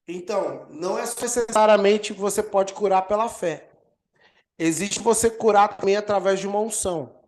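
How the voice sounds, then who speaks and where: A man with a Brazilian accent, an apostle teaching a healing course over a video call, energetic and emphatic as he answers questions. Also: sits high, normal speed. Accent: Brazilian Portuguese. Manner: energetic preacher, swells and emphasizes key words. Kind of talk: live online teaching with Q&A.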